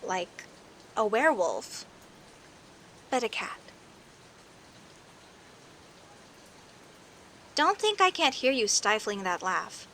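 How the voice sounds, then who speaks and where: A woman's voice: on the high side, big pitch swings, bright, forceful tone, full character voice. A woman playing a friendly, teasing werecat neighbor in an audio roleplay, talking conversationally and straight to the listener. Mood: sheepish, resigned. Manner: teasing, indignant, conversational with sighs and pauses. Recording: faint hiss and rain noise. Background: rain ambience.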